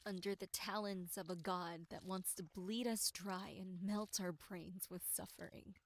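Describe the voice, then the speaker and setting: A woman voicing a tormented, despairing victim in a horror game monologue, with breathy delivery that slips into whispers. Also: lowish voice, fairly even intonation, a little slow. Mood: bitter despair. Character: tormented, despairing young victim musing bleakly on existence. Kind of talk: dramatic first-person horror game monologue.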